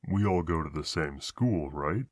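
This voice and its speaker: A man playing a shy, gentle, anxious monster with a hesitant, soft-hearted way of talking, in an ASMR audio roleplay. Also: rumbling low, expressive, normal speed, no echo, clean studio sound.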